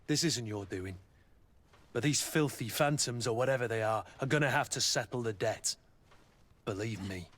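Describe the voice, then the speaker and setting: An actor playing a gruff, loyal mercenary master of arms in a video game, brooding, plain-spoken and sardonic. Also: male voice, medium pitch, normal speed, fairly bright tone, close to an everyday voice. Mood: bitter, disgusted. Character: gruff, battle-worn male mercenary master of arms, guilt-ridden, loyal. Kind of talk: video game dialogue acting.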